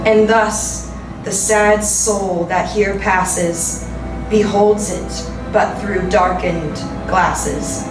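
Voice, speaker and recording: A woman giving a solemn, deliberate and theatrical dramatic reading of poetry. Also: mid-range voice, steady tone. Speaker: poetry reader. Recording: roomy, distant voice over music. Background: music.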